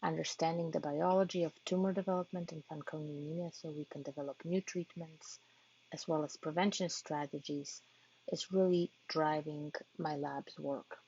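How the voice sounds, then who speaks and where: A woman from Poland, a physician-scientist, giving a prepared research talk to patient families in an earnest, measured way with occasional hesitations. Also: sits low, fairly even intonation, a little mellow, breathy edge. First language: Polish. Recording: dull lo-fi online talk audio.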